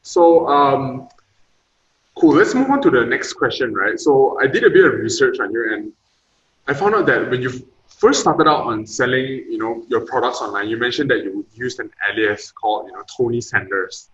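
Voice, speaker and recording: A man's voice: mid-range voice, expressive, normal speed, fairly bright tone. A young man hosting a podcast, asking casual, rambling questions full of fillers. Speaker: podcast host. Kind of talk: interviewing. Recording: roomy echo, dull lo-fi sound.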